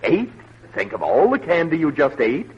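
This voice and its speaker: An actor playing a fussy, earnest, officious doctor who is easily provoked, in an old radio comedy. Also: male voice, on the high side, very animated, moderate pace, breathy edge, heavily acted. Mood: patient, officious. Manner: officious, indignant. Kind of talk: 1940s radio comedy acting. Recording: muffled, hissy old radio recording.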